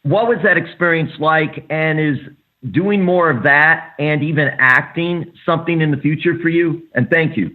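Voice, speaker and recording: A male reporter asking the guest a quick, polite two-part question. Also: fairly high pitch. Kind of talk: press question. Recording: tinny phone line.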